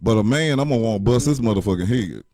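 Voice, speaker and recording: A man with a deep voice gives blunt, profane relationship advice in casual chat. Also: lively intonation, fast, mellow, no echo, clean studio sound.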